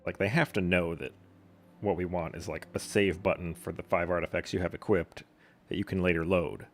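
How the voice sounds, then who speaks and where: Deep, gravelly male game streamer. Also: fairly even intonation, a little fast, a little hiss or hum. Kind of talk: casual live stream commentary.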